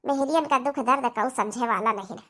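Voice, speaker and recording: A woman's voice: on the high side, fairly quick, fairly bright tone. A woman with an Awadhi accent voicing an aggrieved, pleading young daughter-in-law in a comedy cartoon, complaining plaintively.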